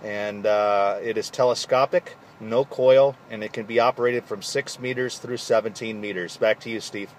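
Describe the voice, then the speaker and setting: A man operating a mobile ham radio from his vehicle, reading call signs clearly and talking through his gear in a friendly way. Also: on the low side, fairly even intonation, moderate pace, few pauses, slight background noise. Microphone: handheld radio microphone.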